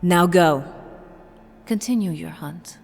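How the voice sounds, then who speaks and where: A menacing female leader of a secret order, played by a woman, speaks low and very slowly. Her delivery is imperious and solemn, audio-drama style, with long hall reverb around it. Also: creaky, darkish tone. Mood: fierce, commanding. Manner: measured, imperious. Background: eerie horror music.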